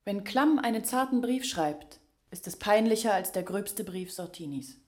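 A woman's voice: medium pitch, lively intonation, normal speed, lightly acted. An actress playing a grave village woman from a disgraced family in a German radio drama, speaking in pointed, emphatic phrases.

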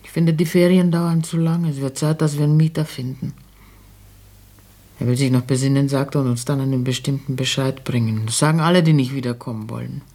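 A woman's voice: very deep voice, steady tone, a little fast, dark tone. An actress playing a fretful, doubting older landlady and mother in a German radio play, speaking in a homely, colloquial way.

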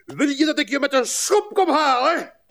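An actor playing an irascible, officious stationmaster with a melancholy streak in a radio play. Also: male voice, animated, normal speed, a bit bright. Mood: furious, bossy. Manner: swings from shrill barking to muttering.

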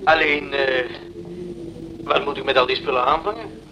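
An actor playing a polite, puzzled young student in a radio play, speaking courteously and hesitantly. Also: male voice, mid-range voice, moderate pace, close to an everyday voice. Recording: dull, noisy old radio recording.

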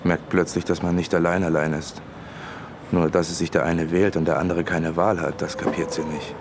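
An actor in a radio drama playing a brooding, resentful loner in clipped inner monologue. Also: male voice, low-pitched, flat delivery, normal speed, background noise. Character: Torge, brooding, resentful, menacing loner ex-marksman.

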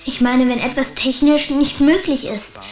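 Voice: high voice, moderate pace, close to an everyday voice. A performer playing a curious, persistent young boy who asks earnest questions in a German radio drama. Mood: earnest, insistent. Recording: roomy sound, faint noise, clicks.

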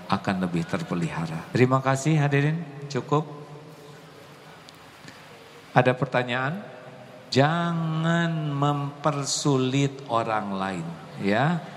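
A man preaching a sermon to an audience and answering questions, gentle at times, then rising to impassioned exclamations. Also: on the low side, in a large hall, slight background noise.

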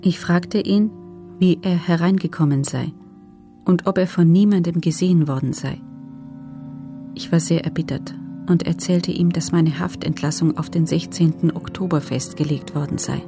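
An actress playing a guarded female prisoner in a documentary radio play, recounting her testimony in a restrained, measured, sober way. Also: lowish voice, over music, close to an everyday voice.

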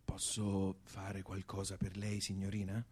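An actor playing a solemn, courteous man in a radio theatre piece, speaking in a deliberate, measured way. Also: low voice, a little hiss or hum. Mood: courteous, hushed. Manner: slow, flat, deliberate delivery. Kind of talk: stage-style radio theatre, chanted call and response.

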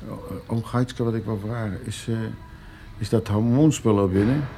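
Actor as stubborn, low-voiced ailing farmer. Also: male voice, normal speed, background noise, lightly acted. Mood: restless. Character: stubborn middle-aged or older farmer laid up in hospital. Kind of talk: Dutch radio play dialogue.

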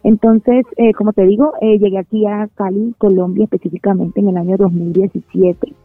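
A Venezuelan woman talks through her story at an ordinary pitch, hesitating and restarting, with soft music under her. The sound is thin and phone-like, and dry with no room echo. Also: little pitch movement, fairly quick. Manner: hesitant, many fillers and restarts, laughs often. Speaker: singer of a band, guest. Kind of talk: casual radio interview. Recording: tinny phone-like line.

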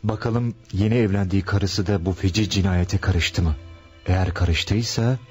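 An actor in a radio play voicing a stern, moralizing police sergeant. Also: male voice, low voice, normal speed, darkish tone, soft background music, lightly acted. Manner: authoritative, moralizing. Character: stern police sergeant, middle-aged man.

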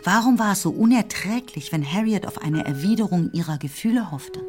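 A woman narrating a literary radio drama in a measured, deliberate way, as a composed storyteller. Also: lowish voice, lively intonation, close to an everyday voice. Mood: reflective. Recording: music under the voice. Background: music.

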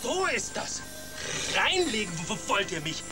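A lowly rat henchman in a children's radio play. He trips and stammers over the starts of his words, slow-witted yet defiant and easily aggrieved. Loud music runs behind the voice. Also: very animated. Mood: bitter, furious. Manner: heavy stutter on first sounds of words.

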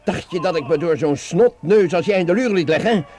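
A man's voice: sits high, expressive, a little fast, darkish tone, soft background music. An actor playing an affronted, stern man driving under threat in a radio drama, speaking curtly. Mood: defiant. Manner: curt, affronted. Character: indignant, stern adult male driver held at knifepoint.

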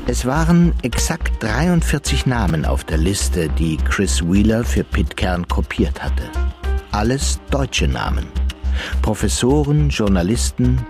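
Male narrator, matter-of-fact but lively, lowish voice, music beneath. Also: a little slow. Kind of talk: scripted narration.

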